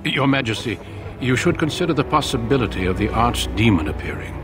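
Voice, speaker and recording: An actor playing a sober, deferential warrior commander in a fantasy game, measured and respectful. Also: male voice, mid-range voice, expressive, noisy background, lightly acted. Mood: respectful caution. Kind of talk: dramatic fantasy game acting.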